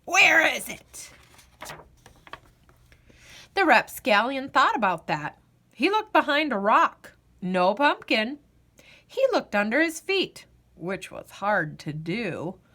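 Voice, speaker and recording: A woman reading a children's storybook aloud as a storyteller, in a deliberate, dramatic, expressive way. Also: fairly high pitch. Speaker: storyteller, host of a read-aloud show.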